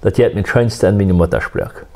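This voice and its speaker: A man, a musician, telling his life story in a soft-spoken, reflective and faith-centered way. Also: low voice, brisk. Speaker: musician guest.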